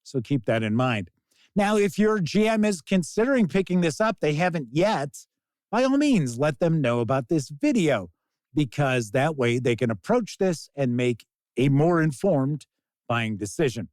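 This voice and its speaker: A man presenting a casual tabletop game review as host, speaking in deliberate, emphatic phrases. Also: on the high side, expressive, darkish tone, no echo. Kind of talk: casual scripted-outline product review to camera.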